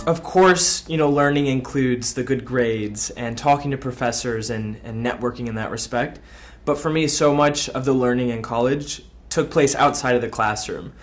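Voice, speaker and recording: Young man, mid-pitched, reflective. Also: normal speed, soft background music. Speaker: college alumnus being interviewed. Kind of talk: reflective documentary interview.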